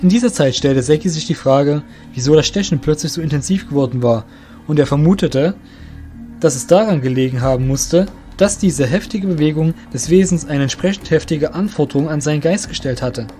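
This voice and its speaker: A man with a fairly high voice reads scripted fantasy narration. He is the storyteller of an audio drama, and music plays behind him. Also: fairly quick, warm tone.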